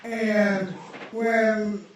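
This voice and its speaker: Male radio host, high and hoarse, halting casual chat, recorded in a very echoey room. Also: flat delivery, far from the mic. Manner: halting, repeats words. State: hoarse, losing his voice. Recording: echoey room, off-mic, faint noise.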